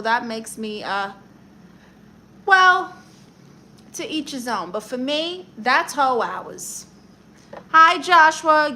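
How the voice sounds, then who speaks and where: A woman's voice: on the high side, sharp tone, a little hiss or hum. A young woman with a Brooklyn accent, hosting a livestream, reading viewer comments and firing back sassy advice and comebacks. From Brooklyn, New York. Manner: brassy, sassy, quick comebacks. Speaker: livestream host giving advice.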